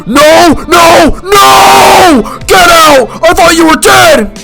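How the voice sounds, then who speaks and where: A man's voice: very high voice, drawn-out words, vocal fry, over loud music, over a noisy crowd, exaggerated voice, poor audio. A man voicing a hot-tempered, macho cartoon woodshop teacher who blusters and bellows. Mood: outraged. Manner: blustering, shouting, swearing.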